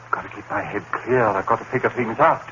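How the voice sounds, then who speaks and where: Man playing haunted biology teacher, low voice, dramatic radio suspense delivery, brooding, muffled noisy vintage recording. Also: fast, fairly bright tone, natural voice. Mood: tormented. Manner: brooding, dramatic inner monologue. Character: anguished accused murderer, a biology teacher, haunted narrator. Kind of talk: 1950s radio suspense acting.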